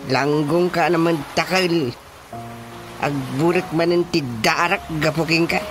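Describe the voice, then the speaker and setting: A man's voice: a little high, steady tone, unhurried, over music, close to an everyday voice. A man acting a stern village chairman in a radio drama, scolding and emphatic. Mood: scolding, indignant.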